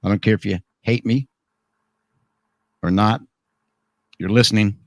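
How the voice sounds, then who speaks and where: Hoarse, chatty male host. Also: fairly low pitch, fairly even intonation, a little slow, dry recording, studio quality. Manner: chatty, joking, rambling with restarts. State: hoarse, voice worn out. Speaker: radio program host. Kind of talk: casual live talk show chat.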